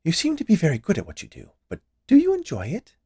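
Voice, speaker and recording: A plump, cheerful lady sweet-maker from an audiobook, acted out by a man. The voice is lifted high and lilts freely in a close, dry recording. The delivery is chatty, gushing and endlessly inquisitive. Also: huge pitch swings, quick pace, warm tone, breathy edge, no echo, full character voice. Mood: chirpy, curious. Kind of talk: audiobook character voice.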